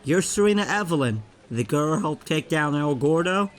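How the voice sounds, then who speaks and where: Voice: mid-range voice, animated, moderate pace, natural voice. A performer playing a curious, friendly youngster in an amateur audio drama.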